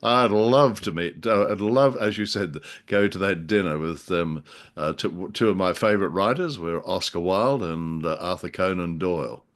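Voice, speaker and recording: A man with a fairly low voice chats as a podcast co-host. His delivery is droll and hesitant, with self-deprecating humour and an unscripted, conversational flow. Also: normal speed. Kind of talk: casual humorous chat.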